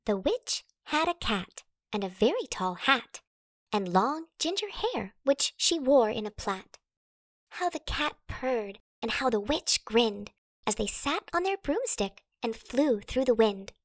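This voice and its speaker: Animated high-voiced woman reading a storybook. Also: measured pace, no room sound. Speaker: storybook narrator. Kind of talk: expressive children's read-aloud in rhyme.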